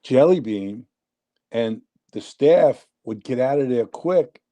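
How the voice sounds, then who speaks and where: A man in his sixties with a thick New York accent spins long, winding stories as a guest on a casual chat show. His voice is notably dark and mellow, never bright, and he sits at an ordinary middle pitch. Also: slow pace. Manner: rambling, animated storyteller. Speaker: interview guest. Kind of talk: casual storytelling.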